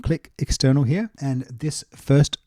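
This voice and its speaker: A man giving a casual, step-by-step software tutorial, explaining things as he goes. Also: mid-range voice, a little slow, dry recording, studio quality. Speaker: music production tutorial presenter.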